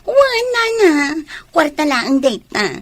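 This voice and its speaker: A woman's voice: sits high, very animated, slow, dry recording, exaggerated voice. An actress with a Filipino accent playing a showy, cajoling woman home from abroad in a radio drama, with a playful drawl.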